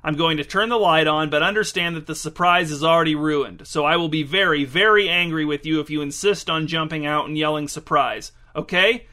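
A man's voice: on the high side, expressive, moderate pace, bright, forceful tone. A man voicing an exasperated, commanding woman in a story, scolding party guests in emphatic, insistent phrases. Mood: stern, angry. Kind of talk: storybook character acting.

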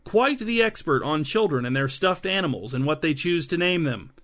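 A man's voice: on the high side, normal speed, pushed and bright. A man voicing a smug, scrawny young man who talks with mocking, amused menace.

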